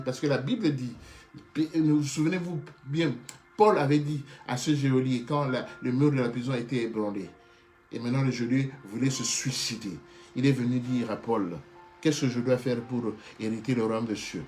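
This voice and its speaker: A man's voice: mid-range voice, darkish tone. A man preaching a sermon with passion, often stopping to restart and repeat phrases and calling his listeners beloved. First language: not Hebrew. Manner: keeps addressing listeners as beloved.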